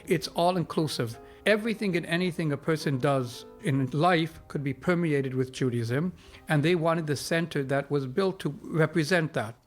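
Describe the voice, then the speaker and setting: Male interviewee, rabbi, somewhat high voice, explanatory delivery over faint music. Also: normal speed.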